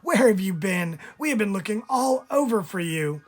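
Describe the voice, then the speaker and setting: A man voicing a band of small, indignant field mice in a storybook, exclaiming and chanting excitably. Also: high-pitched, normal speed, voice pushed up. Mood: excited. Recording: music under the voice.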